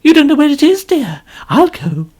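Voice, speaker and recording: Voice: sits high, sing-song, moderate pace, a little mellow, voice pushed up. A narrator putting on a gushing, pompous lady novelist. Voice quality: complaining, melodramatic.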